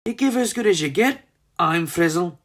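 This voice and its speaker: A storybook narrator voicing a cheeky, excitable young girl chicken with a chummy manner. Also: medium pitch, moderate pace, voice pushed up.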